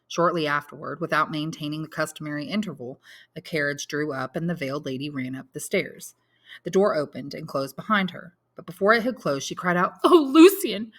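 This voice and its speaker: Low-pitched woman narrating a novel. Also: fairly bright tone. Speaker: audiobook narrator. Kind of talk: scripted literary narration.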